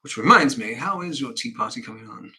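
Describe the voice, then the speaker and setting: A man's voice: mid-range voice, expressive, a little fast, bright, forceful tone. A man rehearsing stage dialogue as a stern, dismissive upper-class father, pompous and brusque.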